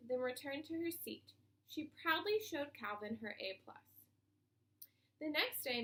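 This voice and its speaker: A woman narrating a children's story aloud in an expressive read-aloud style. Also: sits high. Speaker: storybook reader.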